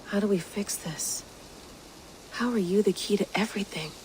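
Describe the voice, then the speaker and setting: A woman's voice: medium pitch, moderate pace, darkish tone, slight background noise, lightly acted, poor audio. A woman playing a weary, driven researcher in a video game, speaking in an understated, terse way. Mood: brooding. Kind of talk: naturalistic video game acting.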